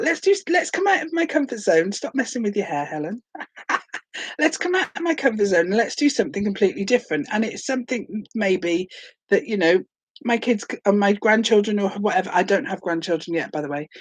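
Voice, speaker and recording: A woman in her late forties from Hereford rambles cheerfully in a first vlog, full of cold with a sore throat. She is self-deprecating and chatty, and her voice sits mid-pitch in a dry, close recording. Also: very animated, fairly quick, husky, dry recording. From England, Hereford. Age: late 40s. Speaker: vlogger.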